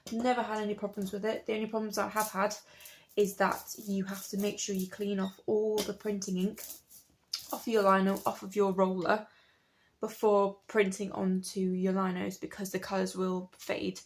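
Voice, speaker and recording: A woman in her late twenties, croaky from a cold, chatting in a rambling, self-correcting way as she talks through her printmaking. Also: medium pitch, level tone, normal speed, slight background noise. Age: late 20s. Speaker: artist and printmaker. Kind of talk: casual chat over studio work.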